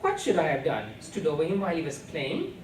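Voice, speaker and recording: A man's voice: fairly high pitch, wildly swooping pitch, a little fast. A man voicing a defensive, indignant mother from a novel, protesting. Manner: crying out, protesting. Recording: roomy, distant, faintly noisy.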